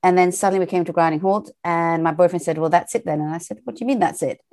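British woman, low voice, chatty, lots of ums and laughs, telling travel stories in an interview. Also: very fast, a little mellow. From Portugal. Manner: chatty, laughs often, many fillers. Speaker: guest, entrepreneur. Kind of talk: casual interview storytelling.

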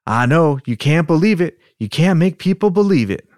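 A man's voice: on the high side, lively intonation, moderate pace, a little mellow, no room sound, clean studio sound, voice pushed up, close to an everyday voice. A man playing a shaken, insistent Southern man who saw otherworldly visitors, with a put-on folksy accent in an amateur dramatic reading.

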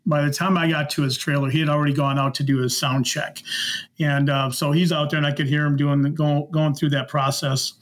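A man's voice: fairly high pitch, flat delivery, a little fast. A middle-aged man with an American Midwestern accent, casually telling anecdotes in a rambling, good-humoured way as a podcast guest. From Minnesota, United States. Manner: rambling, good-humoured, acts out reported dialogue. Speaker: podcast guest, former concert security worker.

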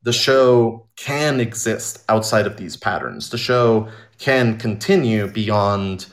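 A man with a fairly low voice speaks informally as an interview guest. He is an animated storyteller who explains his creative work conversationally and likes to act out imagined remarks. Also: takes it slowly. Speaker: audio drama writer and director being interviewed.

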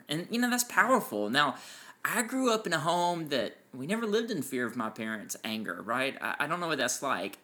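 A man with a loud voice co-hosting a casual parenting podcast, explaining his points with enthusiasm. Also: mid-range voice. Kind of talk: casual chat. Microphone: farther from mic than co-host.

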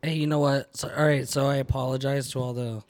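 Hoarse young man losing his voice. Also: medium pitch, level tone, quick pace, very noisy. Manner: apologetic, rambling. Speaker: podcast co-host. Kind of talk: casual chat.

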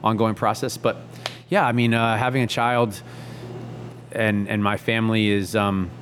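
A man in his thirties, a chef, talking in a relaxed, easygoing way as an interview guest, with many fillers and restarts. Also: fairly low pitch, normal speed, slight background noise. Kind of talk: casual reflective interview.

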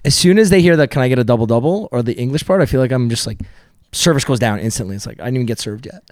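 A man's voice: mid-range voice, lively intonation, very fast, a little mellow, no echo, studio quality. A young man co-hosting a podcast, telling joking stories in casual chat. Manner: joking storyteller. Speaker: podcast co-host.

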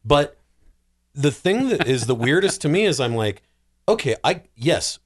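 Guy on a movie podcast, really lively up-and-down delivery, casual, does little mock reactions. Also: a little high, measured pace. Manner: animated, mock-quotes imagined reactions. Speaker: podcast panelist discussing films.

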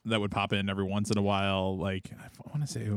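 Low-voiced man, rambling chat. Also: little pitch movement, racing, no room sound, clean studio sound. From Midwest. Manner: chatty, rambling with fillers, easy laughter. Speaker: podcast host. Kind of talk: casual podcast chat.

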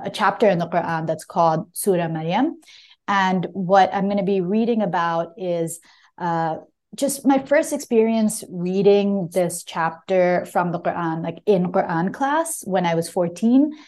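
A woman's voice: fairly low pitch, normal speed, darkish tone. A woman talking as a guest author about a memoir, reflective and conversational, with frequent small hedges. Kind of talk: casual book talk and reading from own memoir.

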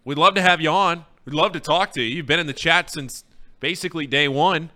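Casting: male sports radio host, very bright and forward voice, crisp tone, easygoing banter. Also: a little high, fairly quick. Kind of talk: casual sports talk banter.

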